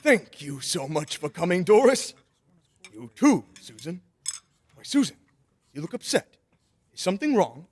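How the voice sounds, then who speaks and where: A man acting a kindly, jolly old Santa figure in an old-time radio drama, with an earnest, theatrical, gentle manner. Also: sits high, big pitch swings, measured pace, long pauses.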